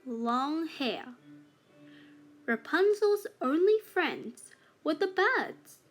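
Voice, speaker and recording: A woman reading a children's fairytale aloud as a storybook narrator, with deliberate phrasing for young learners. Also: sits high, a bit bright.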